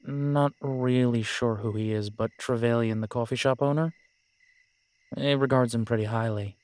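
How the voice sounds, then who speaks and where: A man playing a determined, pragmatic werewolf pack leader in an audio roleplay, measured, reassuring and matter-of-fact. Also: medium pitch, fairly even intonation, darkish tone, dry recording, natural voice. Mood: businesslike.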